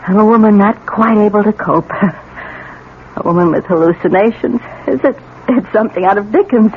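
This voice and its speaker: An actress playing a bitter, lonely middle-aged wife and mother in a theatrical, halting 1970s radio drama style. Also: mid-range voice, close to an everyday voice. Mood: bitter, wistful. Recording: tinny, noisy old radio broadcast.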